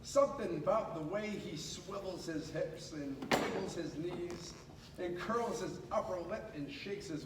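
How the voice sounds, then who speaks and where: Echoey high-voiced man reading a storybook aloud. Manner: dramatic, with pauses and drawn-out emphasis. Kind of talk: animated read-aloud of a children's picture book. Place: echoey room at a school. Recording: echoey room, distant mic, clear background noise.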